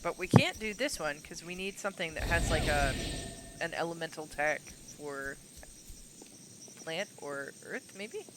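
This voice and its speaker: A man's voice: fairly high pitch, a little slow, heavy background noise. A man streaming a video game, talking casually through his play, a little sniffly and congested. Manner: relaxed, muttering to himself while playing. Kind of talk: casual let's-play commentary. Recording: game music playing underneath. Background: game music.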